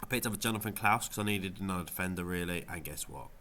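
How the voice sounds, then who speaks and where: Low-voiced man with a nasal cold. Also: fast talker, a bit bright. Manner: rambling, lots of ums and restarts. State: has a cold, sounds nasal. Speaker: fantasy football video creator. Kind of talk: casual gameweek review vlog.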